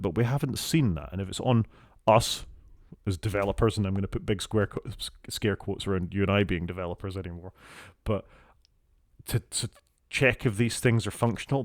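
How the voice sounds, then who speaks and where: A man with a Scottish accent co-hosting a casual tech podcast, wry and measured, often trailing off quietly at the ends of phrases. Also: fairly low pitch, dry recording, studio quality. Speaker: podcast co-host.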